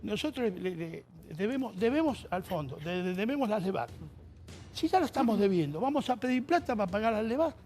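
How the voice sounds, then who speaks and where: A man, a panelist on a television debate who speaks haltingly and repeats words. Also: high-pitched, big pitch swings, darkish tone, soft background music.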